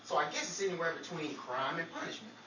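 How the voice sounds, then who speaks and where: Woman playing a low-voiced, flustered male detective. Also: big pitch swings, fast talker. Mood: earnest excuse. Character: flustered male 1940s detective making excuses. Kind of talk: comic radio play acting. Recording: roomy, distant, noisy rehearsal room.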